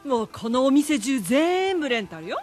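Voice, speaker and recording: A woman's voice: sits high, sing-song, normal speed, darkish tone, faint music behind. A woman playing a breezy, encouraging bar hostess in a Japanese radio drama, chirpy and flippant. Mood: breezy, cheering.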